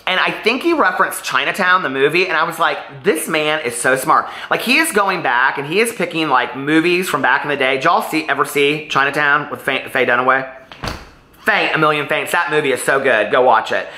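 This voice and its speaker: A man with a bright, forward voice speaks casually and directly to his viewers. He gushes and rambles, leaning hard on words for emphasis. The recording is roomy, with audible echo around the voice. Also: a little high, expressive, brisk. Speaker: book reviewer vlogger. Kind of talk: casual chatty book review to camera. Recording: roomy, echoey room sound.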